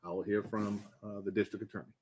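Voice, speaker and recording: A male judge with a fairly low, husky voice explains a hearing step by step. His delivery is measured and plain-spoken, with some hesitation as he goes. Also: level tone, a little mellow. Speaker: judge presiding over a bond hearing. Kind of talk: procedural courtroom explanation.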